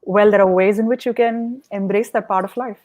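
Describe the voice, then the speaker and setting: A woman's voice: mid-range voice, steady tone, fairly quick, darkish tone. A woman hosting a podcast interview who asks prepared questions in an upbeat way. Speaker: podcast host.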